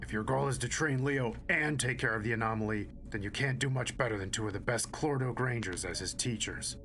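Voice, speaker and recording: A dutiful soldier played by a man speaks at a medium pitch. He is measured, formal and earnest in audio-drama style, with a little noise in the background. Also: a bit bright, natural voice. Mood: earnest persuasion. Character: earnest, dutiful male ranger, respectful soldier.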